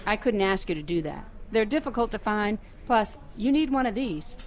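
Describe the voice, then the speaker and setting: A woman's voice: medium pitch, expressive, moderate pace, close to an everyday voice. A woman playing a chatty, flirtatious nurse in her fifties, in conversational audio drama dialogue.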